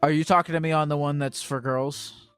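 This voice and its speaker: A man hosting a call-in show, teasing his caller with profane, quick-fire banter. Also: fairly high pitch, steady tone, a bit bright, clean tone, no echo, soft background music. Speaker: host.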